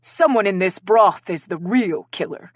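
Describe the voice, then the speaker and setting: A woman's voice: medium pitch, expressive, unhurried, no echo, studio quality. A woman narrator voicing a theatrical, commanding woman who leads an improv game in a story. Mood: grave, theatrical. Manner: theatrical, directing.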